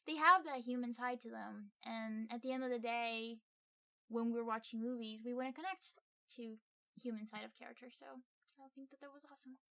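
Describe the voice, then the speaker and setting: A woman's voice: fairly high pitch, fairly even intonation, brisk, gravelly, a bit bright, dry recording. A woman co-hosting a casual film review podcast, talking informally and a little hesitantly into a close microphone. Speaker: podcast co-host.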